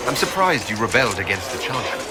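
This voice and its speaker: Game hero: lowish voice, composed, polite, measured questioning, loud score behind. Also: male voice, sing-song. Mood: politely curious. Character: calm, courteous male fantasy game adventurer. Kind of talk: video game dialogue. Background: loud game music.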